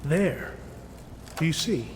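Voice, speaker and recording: A man's voice: fairly high pitch, expressive, slow. An actor playing a learned, irritable inventor in his late fifties in an audio drama, speaking formally and condescendingly. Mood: patient, explaining. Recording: noisy, echoing with long reverb.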